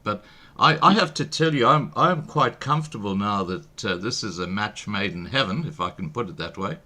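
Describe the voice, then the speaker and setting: A man's voice: fairly low pitch, animated, moderate pace, sharp tone. A man from East and South Africa hosting a live interview, speaking frankly and often repeating words as he goes. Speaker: talk show host.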